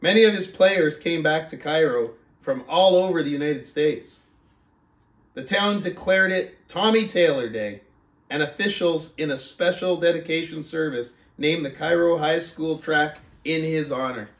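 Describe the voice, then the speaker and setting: A man who speaks as a motivational book club host, reading aloud and coaching in an emphatic, deliberate, rhetorical manner. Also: fairly high pitch. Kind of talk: audiobook-style reading aloud and motivational talk. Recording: roomy, echoing sound.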